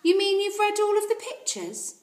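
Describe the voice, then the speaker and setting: Storybook librarian woman, acted by a female reader: kindly, polite, questioning, raised swooping pitch, echoey room. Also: sing-song, moderate pace, darkish tone. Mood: kindly, curious. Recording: roomy echo.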